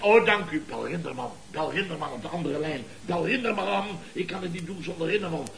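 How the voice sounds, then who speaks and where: An actor playing a blustering, boastful contractor boss in a Dutch radio comedy, with a pompous, theatrical, lecturing manner. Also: male voice, a little high, fairly quick, gravelly, a loud crowd. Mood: flustered. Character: blustering, boastful contractor boss and uncle, scheming wheeler-dealer.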